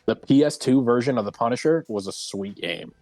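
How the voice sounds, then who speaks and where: A man chatting casually as a panelist in a group discussion, often pausing as he searches for what to say. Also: medium pitch, a little mellow. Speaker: round table panelist. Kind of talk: casual group discussion about games and films. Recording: roomy echo, music underneath.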